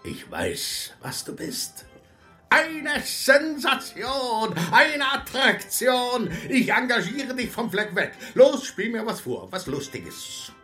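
A woman's voice: fairly high pitch, huge pitch swings, takes it slowly, pushed and bright, soft background music, full character voice. A woman playing a mocking, bossy male harlequin marionette in a children's radio play, theatrical and moody, moving between singsong and menace. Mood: excited, scornful.

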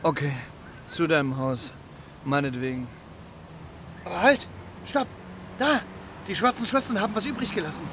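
A man's voice: on the high side, normal speed, long pauses, a little hiss or hum, lightly acted. A man as a cocky, scrappy street pigeon. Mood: cocky, dazed. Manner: swaggering, clipped, colloquial. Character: cocky scrappy male street pigeon, boastful and gruff-hearted. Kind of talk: children's radio play acting.

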